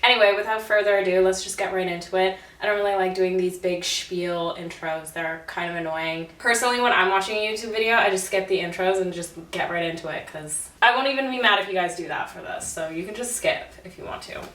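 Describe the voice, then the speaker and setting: A woman's voice: on the low side, fairly even intonation, fairly quick, hardly any pauses, fairly bright tone. A young woman, a bit stuffed up and congested, chatting casually to camera in a self-deprecating, laughing way as a beauty vlogger at home. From Canada. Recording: roomy, slightly distant audio.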